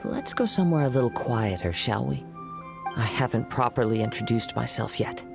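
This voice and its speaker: A soft-spoken poetic wandering samurai. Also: male voice, medium pitch, animated, creaky. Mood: courteous. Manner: soft-spoken, formal, measured, often whispery. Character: calm young male wandering samurai, poetic and courteous. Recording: game cutscene with music behind.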